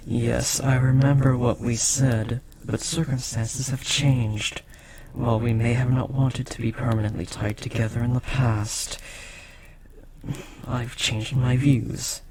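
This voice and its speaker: Man playing a gravelly, possessive demon. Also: mid-range voice, flat delivery, a crowd in the background, poor audio. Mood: intense, possessive. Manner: intense, possessive, formal speech with dramatic pauses. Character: powerful male demon bound to a sorcerer, possessive and devoted. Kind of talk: intimate scripted audio roleplay.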